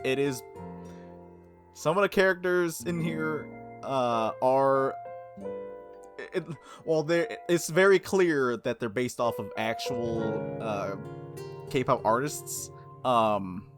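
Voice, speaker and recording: A gaming video host with an informal, playful way of talking, commenting casually and reading game text aloud. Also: medium pitch. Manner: informal, giggly, frequent "like", playful asides. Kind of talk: casual gameplay commentary with read-aloud visual novel text.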